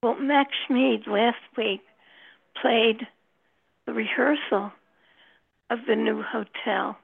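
A woman with a heavily creaky, husky voice chats at a slow, halting pace. She sounds like she is on a narrow phone line. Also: a little high, dry recording. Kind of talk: casual group chat. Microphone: phone or online meeting call.

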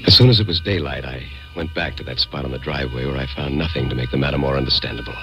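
An actor playing a haunted, guilt-ridden man who narrates a radio suspense tale in a brooding, deliberate, formal way. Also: extremely low pitch, dull audio. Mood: uneasy. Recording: old radio recording with music.

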